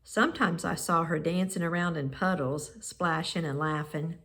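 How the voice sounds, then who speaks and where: A woman reading a children's picture book aloud in measured, expressive phrases with pauses. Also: deep voice. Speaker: storybook reader.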